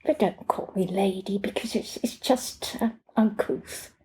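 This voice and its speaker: A woman's voice: medium pitch, unhurried, darkish tone, slightly rough. A woman voicing a haughty, fussy upper-class lady employer from a short story, cold and condescending in manner. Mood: haughty, irritated.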